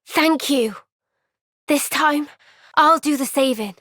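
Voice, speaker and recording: An actress playing a young soldier heroine in a video game, earnest, gentle and resolute, speaking with soft, measured sincerity. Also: female voice, high-pitched, no room sound, studio quality. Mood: calm, resolute. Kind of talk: video game cutscene voice acting.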